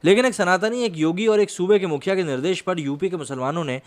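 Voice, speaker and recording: A man's voice: high voice, fast talker. A man delivering news analysis commentary, partly scripted, in an emphatic, rhetorical manner. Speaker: commentator presenting a video.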